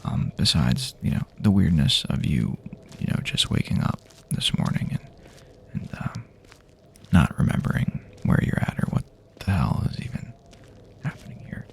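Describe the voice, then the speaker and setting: A man playing a wary but hopeful, laid-back apocalypse survivor in a hushed, halting, rambling, partly whispered ASMR roleplay. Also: low-pitched, very animated, vocal fry, heavily acted. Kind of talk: improvised ASMR roleplay, soft-spoken.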